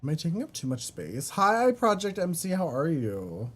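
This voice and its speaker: Chatty streamer man with wildly swinging pitch. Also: normal speed, slightly rough. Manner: chatty, swears, reads chat aloud, swings between flat mutters and high squeals. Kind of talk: casual gaming stream chat.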